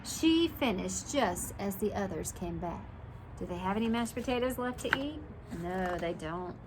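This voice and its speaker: A woman reads a children's picture book aloud like a preschool teacher, in an animated way, pausing to put questions to young listeners. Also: medium pitch, background noise.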